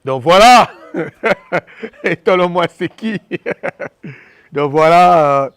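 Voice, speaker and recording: A man from Congo giving impassioned opinion commentary, talking fast and repeating himself as he switches between French and Lingala. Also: high voice, animated, fairly bright tone, clean tone, no echo, studio quality.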